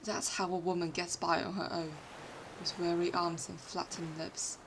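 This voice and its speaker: A woman who is not a native English speaker, with a British-influenced accent, reads fiction aloud in an expressive, literary storytelling manner. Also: on the low side, normal speed, background noise. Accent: British-influenced non-native English. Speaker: podfic reader. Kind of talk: dramatic scripted fiction narration.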